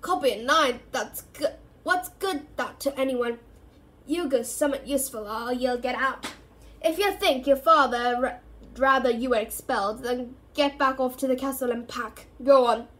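A woman acts out a gruff gamekeeper, a blunt storybook man with a protective streak. He growls his rustic phrases loudly and firmly. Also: fairly high pitch, fairly even intonation, moderate pace, slight background noise, lightly acted. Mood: fierce. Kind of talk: storybook character reading.

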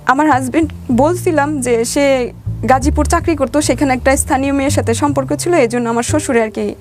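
A woman's voice: sits high, brisk, soft background music. A woman with a Bangladeshi Bengali accent telling her life story as an interview guest, colloquially, voicing the dialogue she recalls. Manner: colloquial, acts out reported dialogue.

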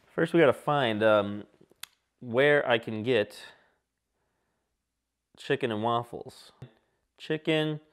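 A man in his twenties from Michigan hosting a comedy talk show sketch in a small studio, irreverent and excitable, swearing a lot. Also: mid-range voice, takes it slowly, long pauses, smooth. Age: 20s. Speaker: host. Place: small indoor studio set.

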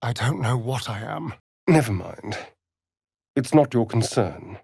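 A flamboyant male vampire rogue for a fantasy video game. He speaks in an aristocratic British accent, arch and sardonic, stretching his words at a leisurely pace with swooping, highly varied intonation. The sound is close and dry. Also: expressive, unhurried, gravelly, mellow, husky, dry recording, exaggerated voice. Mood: guarded, uneasy. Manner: arch, theatrical, drawling, aristocratic delivery. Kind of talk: theatrical game character dialogue.

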